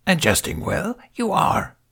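A man voicing a tiny, ancient, serene alien master who speaks in inverted, riddling sentences with a high, half-whispered voice. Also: wildly swooping pitch, measured pace, creaky, slightly rough, exaggerated voice. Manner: inverted word order, gentle and riddling. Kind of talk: audiobook character voice.

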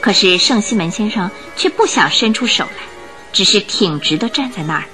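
Female radio drama narrator, mid-pitched, highly animated and dramatic storytelling. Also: moderate pace, faint music behind. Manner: expressive, theatrical.

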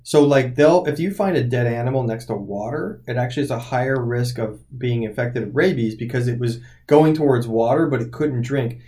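Dude rambling through an explanation on a podcast, medium voice, lots of likes. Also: male voice, mid-range voice, a little fast, few pauses, mellow. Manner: explains things at length with many fillers. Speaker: podcast co-host. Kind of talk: casual podcast banter.

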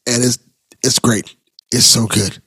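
A man hosting a casual interview podcast, speaking appreciatively in a halting way and repeating words as he starts. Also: mid-range voice, fairly even intonation, a bit bright, slightly rough, dry recording, studio quality. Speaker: podcast host.

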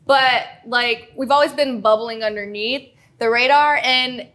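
Woman from louisiana chatting in an interview, voice a bit high, earnest, repeats words for emphasis. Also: measured pace, a bit bright. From Shreveport, Louisiana, United States. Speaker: entrepreneur interview guest. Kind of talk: casual conversational interview.

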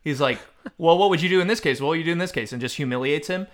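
A man's voice: a little high, racing. A man hosting a podcast film quiz with joking banter and a mock-formal quizmaster delivery. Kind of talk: comic film trivia banter.

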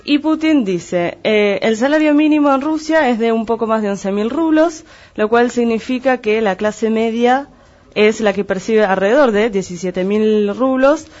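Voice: medium pitch, normal speed, a little mellow. Didactic Argentine speaker, dull lo-fi sound. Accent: Argentine Spanish. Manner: didactic, clarifying terms step by step. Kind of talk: explanatory conversational analysis.

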